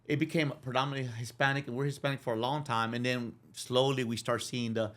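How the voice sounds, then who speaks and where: A middle-aged man from Dallas, a podcast guest talking casually, with lots of restarts. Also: mid-range voice. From Dallas, Texas. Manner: fast, repetitive restarts, animated. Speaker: interview guest. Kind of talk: casual conversation.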